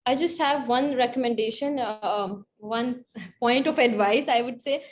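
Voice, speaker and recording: A woman's voice: a little high, unhurried, a little hiss or hum. A woman speaking as a panelist, sharing advice and personal experience.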